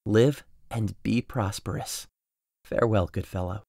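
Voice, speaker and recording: A man playing a desperate, grief-stricken young husband in a dramatic audio drama, intense and swinging between hushed menace and anguish. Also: lowish voice, steady tone, measured pace, creaky, no room sound, clean studio sound. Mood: commanding, menacing. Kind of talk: dramatic audio drama acting, modernized Shakespeare.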